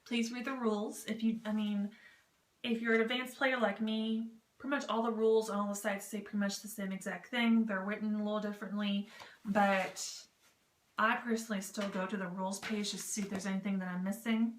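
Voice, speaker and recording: A woman's voice: mid-range voice, level tone, brisk. A woman with a mid-range voice hosts a casual explainer vlog. She rambles informally, with jokey, self-deprecating asides. The recording is echoey, with noise behind her. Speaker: vlog host giving tips on written role-playing. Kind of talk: casual, chatty explainer to camera. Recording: roomy echo with clear background noise.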